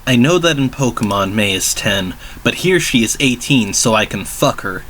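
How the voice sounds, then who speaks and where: A man's voice: mid-range voice, animated, normal speed, fairly bright tone, slight background noise. A man giving a dramatic reading of a comedic story as narrator, with declarative storytelling delivery.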